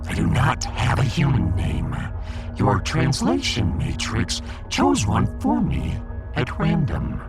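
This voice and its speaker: Villainous alien fish overlord: croaky, pompous, theatrical, wildly swinging intonation. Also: male voice, medium pitch, wildly swooping pitch, music in the background, full character voice. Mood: haughty. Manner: pompous, theatrical, slow and deliberate. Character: pompous alien fish emperor, menacing self-styled god. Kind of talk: sci-fi audio drama acting.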